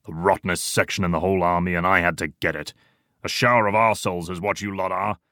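A man's voice: low voice, fairly even intonation, quick pace, bright, forceful tone, no echo, clean studio sound. A man voicing a weary, short-tempered old section leader in a war novel, barking coarse orders. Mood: sullen, snarling.

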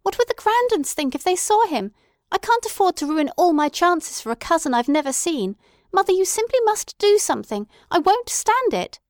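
A spoiled young woman whines and demands in a high, shrill voice, put on by a woman reading aloud. She sounds petulant and emphatic, pushed well above her own register and louder. The recording is dry and close, with no room sound. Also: sing-song, moderate pace, dry recording. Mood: indignant. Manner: whiny, indignant, emphatic.

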